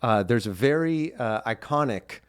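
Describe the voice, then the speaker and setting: A man's voice: mid-range voice, expressive, normal speed, smooth, no echo. A young man co-hosting a casual podcast, gushing and enthusiastic, chatting in a studio. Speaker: podcast co-host.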